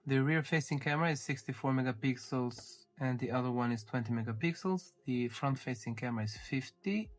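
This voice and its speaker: A man's voice: mid-range voice, brisk, few pauses. A man giving an informal, step-by-step tech review commentary as he handles a device. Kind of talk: casual unboxing walkthrough commentary.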